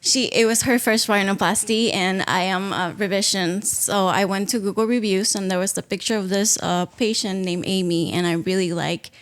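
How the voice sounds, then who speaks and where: A woman's voice: mid-range voice, fairly even intonation, normal speed, few pauses. A woman in her thirties from Peru, a native Spanish speaker, telling her own story casually as a podcast guest, sometimes searching for words. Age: 30s. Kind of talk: casual personal account.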